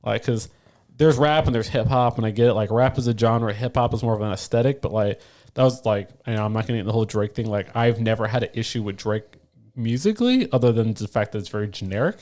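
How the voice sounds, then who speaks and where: A young man co-hosting a podcast in casual chat, animated and rambling, full of fillers. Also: on the low side, fast. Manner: animated, talks in long run-on explanations with many fillers. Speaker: podcast co-host.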